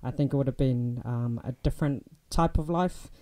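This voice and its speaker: A young man with a New Zealand accent, chatting as a guest in a casual interview, relaxed and full of ums and restarts. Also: medium pitch, normal speed, very noisy.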